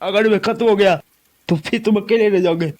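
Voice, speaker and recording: A man's voice: sits high, steady tone, fairly quick, noisy background, close to an everyday voice. A man voicing an earnest, loyal young ninja hero who appeals to his rival, in an amateur anime fan dub. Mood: pleading.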